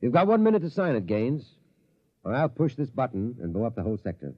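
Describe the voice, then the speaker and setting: A man's voice: mid-range voice, big pitch swings, quick pace. An actor in an old radio drama playing an insecure, self-important rebel director who stammers and whines as he blusters. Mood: smug, menacing. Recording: muffled vintage radio recording.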